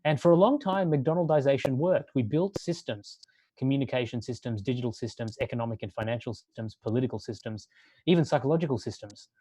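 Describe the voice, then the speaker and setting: A man who grew up in Australia, giving a sermon-like talk in a measured way and building long lists for emphasis. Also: medium pitch, mellow, slightly rough, dry recording.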